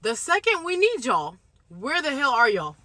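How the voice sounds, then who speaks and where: A woman's voice: sits high, huge pitch swings, moderate pace, pushed and bright, dry recording. A woman of Somali Bantu background speaking in an indignant, heated monologue to camera. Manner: indignant, repeats mocking quotes. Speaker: social media commenter.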